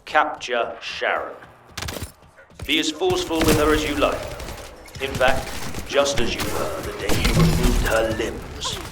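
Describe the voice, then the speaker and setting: An actor playing a cold, gloating villain scientist in a video game, speaking in a measured, menacing, condescending way. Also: male voice, medium pitch, expressive, far from the mic, heavy background noise, close to an everyday voice. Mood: commanding, gloating. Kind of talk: dramatic video game voice acting. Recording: roomy echo, loud music and gunfire.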